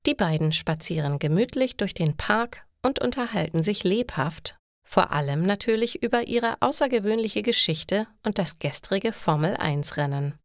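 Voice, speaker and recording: A woman's voice: mid-range voice, expressive, moderate pace, dry recording. Woman narrator with a dry, close sound. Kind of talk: scripted story narration for language learners.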